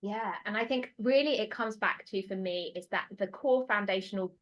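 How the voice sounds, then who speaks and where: An expressive British woman chatting as a podcast guest, her voice sitting at a middle pitch. She talks with animated energy and quick, bright bursts of speech. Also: highs cut off. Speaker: podcast guest, transformation mentor. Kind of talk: casual podcast conversation.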